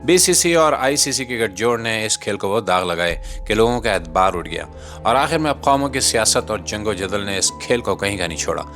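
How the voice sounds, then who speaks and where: A Pakistani man with a fairly low voice reads a scripted satirical commentary. He is sarcastic and rhetorical, with music playing faintly underneath. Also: animated, moderate pace, fairly bright tone. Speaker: satirical commentator, channel host.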